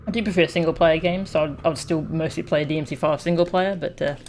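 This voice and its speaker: A woman's voice: low-pitched, steady tone, quick pace, a little mellow, slight background noise. A woman game streamer giving casual commentary on a playthrough.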